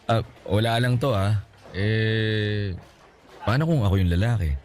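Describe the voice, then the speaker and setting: Low-voiced Filipino flirt in a radio drama, played by a man. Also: unhurried, darkish tone, close to an everyday voice. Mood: playful. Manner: teasing, chuckling, conversational. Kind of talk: Tagalog radio drama acting. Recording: music under the voice.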